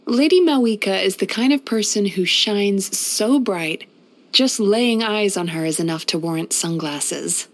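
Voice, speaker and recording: A woman playing a gentle, composed yokai therapist in a video game, soft-spoken and measured. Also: mid-range voice. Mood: playful, musing. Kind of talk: video game character voice lines.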